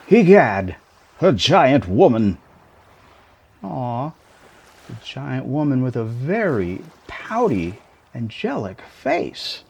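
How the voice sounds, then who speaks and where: Male actor as shrunken boyfriend for intimate audio roleplay: affectionate tease, slow delivery, lively swooping intonation. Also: mid-range voice, slight background noise, full character voice. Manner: playful, teasing, affectionate, theatrical exclamations. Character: tiny shrunken boyfriend, playful, teasing, doting. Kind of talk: intimate scripted roleplay monologue, ASMR.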